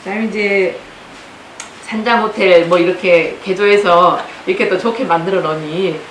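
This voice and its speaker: A woman with a slightly low voice makes informal remarks as a guest. She speaks haltingly, dropping in small affirmations, in an echoey recording with clear noise behind her. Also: fairly even intonation.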